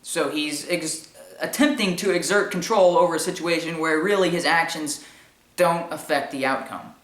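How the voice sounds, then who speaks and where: A man with a high-pitched voice giving informal opinion commentary in an explanatory, argumentative way with emphatic repetition. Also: normal speed, a bit bright. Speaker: commentary show host. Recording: echoey room sound.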